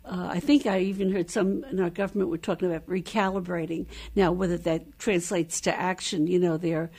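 A woman hosting an interview in a conversational, halting and reflective way. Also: lowish voice, fairly even intonation, warm tone, slightly rough. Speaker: interview host.

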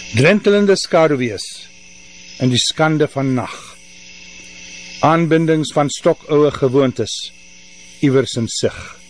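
An older man who hosts a radio show and performs poetry, talking and reciting in a literary storyteller's style. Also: mid-range voice. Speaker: radio host and poet. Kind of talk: casual introduction and dramatic poetry recital. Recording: steady hum and background noise.

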